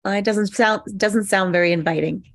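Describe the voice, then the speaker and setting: A woman's voice: medium pitch, a little fast, lightly acted. A woman reading the part of a hapless, lovelorn maid of honor at a casual screenplay table read. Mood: casual, sheepish.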